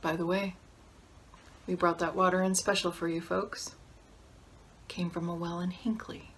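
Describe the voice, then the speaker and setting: A woman's voice: fairly low pitch, animated, moderate pace, slight background noise, lightly acted. A woman acting a steely, contemptuous lawyer in a dramatic monologue, speaking in a controlled, cutting way. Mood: cold contempt.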